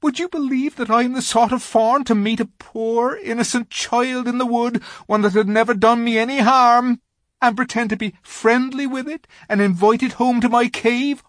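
A storybook narrator voicing a gloomy, guilt-ridden male fawn who sobs and wails in a slow, self-reproaching way. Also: medium pitch, a bit bright, no echo, studio quality, voice pushed up, lightly acted. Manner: sobbing, wailing, drawn-out delivery.

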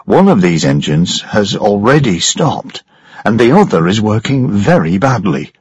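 A man's voice: on the low side, sing-song, measured pace, a little mellow, husky, no room sound, highs cut off, full character voice. A man voicing a blustering, pushy ship captain who talks down to others. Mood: insistent. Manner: pushy, dismissive.

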